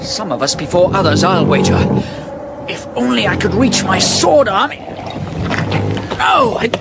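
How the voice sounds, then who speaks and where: An actor playing a haughty, urgent heroic quester in a comic fantasy radio drama, declamatory and scolding. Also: male voice, high voice, wildly swooping pitch, unhurried, vocal fry, loud music behind the voice, heavily acted. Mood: alarmed, declamatory. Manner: declamatory, pompous.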